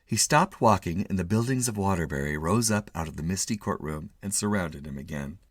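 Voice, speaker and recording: A man giving an expressive, theatrical reading of a literary short story as its narrator. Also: sits low, fairly quick, no echo, clean studio sound.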